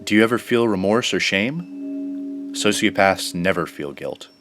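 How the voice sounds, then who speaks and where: A man's voice: sits low, animated, a little slow, music in the background. An actor playing a clinical, matter-of-fact teenage boy reading out test questions in a detached, formal way in an audio drama.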